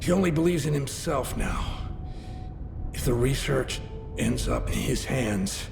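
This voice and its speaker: A grave, wounded male military commander in a cinematic game. His delivery is slow and gravelly, urgent and resolute, with weighty pauses. The voice rings in a reverberant space with music underneath. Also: medium pitch, lively intonation. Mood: weary, warning. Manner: deliberate, emphatic delivery with dramatic pauses. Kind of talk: cinematic video game acting.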